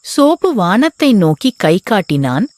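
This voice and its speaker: A woman narrating a children's bedtime story in a gentle, expressive storytelling manner. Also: medium pitch, measured pace, no echo.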